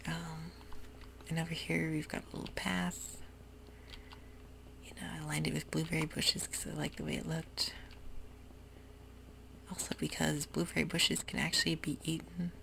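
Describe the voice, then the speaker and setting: A woman giving soft-spoken, whispery commentary while she plays a computer game, with frequent ums. Keyboard typing can be heard close to the mic. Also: low voice, level tone, moderate pace, vocal fry, long pauses. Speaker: ASMR gaming creator. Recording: noisy home recording.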